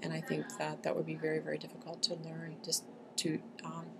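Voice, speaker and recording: A woman answering interview questions in an articulate, measured way. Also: sits low, monotone, vocal fry. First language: English. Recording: noticeable background noise.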